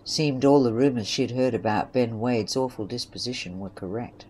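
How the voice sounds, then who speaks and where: Faint noise and ambience lie under a narrator of middling pitch. The delivery is scripted storytelling, read as third-person narration for a home-made audio drama. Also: normal speed, darkish tone.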